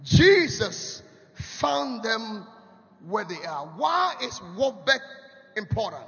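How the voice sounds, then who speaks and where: A man with a Ghanaian accent preaching a sermon, shouting in sing-song, repeating rising phrases in quick bursts. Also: very high voice, very animated, creaky, husky. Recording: dull lo-fi sound with long hall reverb.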